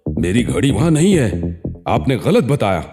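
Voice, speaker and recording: A man voicing an excitable, dramatic village figure in a cartoon story. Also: fairly low pitch, measured pace. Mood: indignant. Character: excitable village men, crier and aggrieved headman. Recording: long reverb, music bed.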